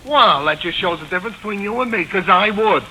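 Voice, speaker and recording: An actor playing a scrappy, sneering hotel manager, slangy and cheeky, in 1940s radio style. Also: male voice, high voice, fairly quick, bright, forceful tone. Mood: indignant, challenging. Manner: slangy, cheeky, ends remarks with "hey?". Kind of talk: 1940s radio character acting. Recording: noisy old 1940s radio broadcast.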